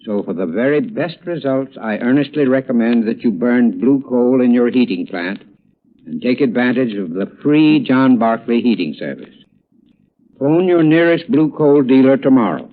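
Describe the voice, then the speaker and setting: A man's voice: mid-range voice, expressive, moderate pace. A man with an American accent, a spokesman delivering a scripted 1930s radio commercial in an earnest, formal manner. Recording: tinny, narrow 1930s radio recording.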